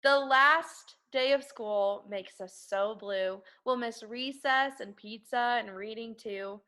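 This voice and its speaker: A woman reading a children's picture book aloud like an elementary school teacher, with expressive, emphatic narration aimed at young children. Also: a little high, takes it slowly. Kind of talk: animated children's storybook read-aloud.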